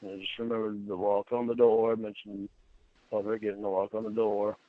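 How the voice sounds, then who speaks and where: Low-voiced man, muffled, conceding. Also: flat delivery, a little fast, no echo. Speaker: person being questioned. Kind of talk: answering an interrogation.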